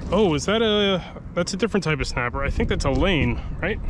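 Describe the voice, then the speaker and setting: A man's voice: on the high side, lively intonation, a little fast. A man giving casual running commentary while fishing from a kayak on open water, thinking aloud about his catch. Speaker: fishing vlogger. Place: kayak on open sea, outdoors. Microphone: action camera mounted on kayak. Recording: outdoor action-cam audio with wind and water noise. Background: wind and water noise.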